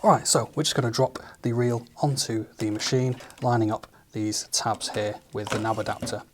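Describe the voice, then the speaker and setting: A man giving a relaxed, methodical hands-on tutorial as a studio engineer, walking through each step with the odd filler. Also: medium pitch, normal speed. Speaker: studio engineer demonstrating equipment.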